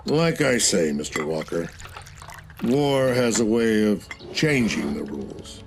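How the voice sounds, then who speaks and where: An actor voicing a senior naval admiral who gives orders in a calm, authoritative way. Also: male voice, on the low side, a little slow, slight background noise. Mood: commanding. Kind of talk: scripted game cutscene.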